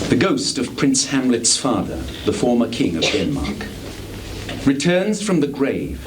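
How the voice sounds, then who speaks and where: Guy narrating onstage, formal n slow, very lively pitch, noisy old live recording. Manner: formal, measured phrasing. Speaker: stage performer and narrator. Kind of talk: scripted theatrical narration. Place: small theatre stage, live performance.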